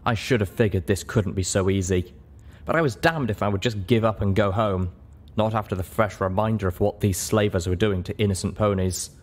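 A man's voice: on the low side, brisk. A man narrating fiction expressively as an audiobook reader.

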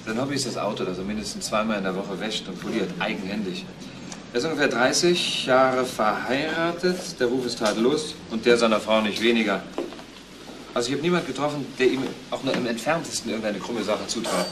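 A man acts out an earnest police investigator in a crime radio drama. His voice sits fairly low as he explains carefully, pausing to find words. There is faint noise in the recording. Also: close to an everyday voice. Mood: matter-of-fact. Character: earnest male police investigator, thorough and matter-of-fact. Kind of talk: German crime radio play acting.

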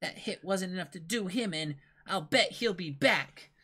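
A man's voice: sits high, moderate pace, pushed and bright, slightly rough, pitched above the performer's own voice, exaggerated voice. A man voicing a cheerful, chatty little girl who explains things in a manga reading. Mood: matter-of-fact, complaining.

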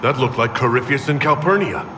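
A man's voice: mid-range voice, animated, a little fast, long reverb tail, slight background noise, lightly acted. An actor playing a male inquisitor in a fantasy video game, asking direct questions.

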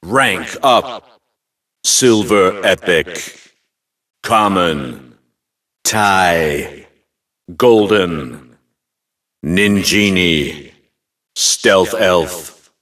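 A deep fantasy game announcer, solemn and commanding. He delivers short, clipped callouts at a very slow pace, sounding set back from the mic. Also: a bit bright, far from the mic, heavily acted.

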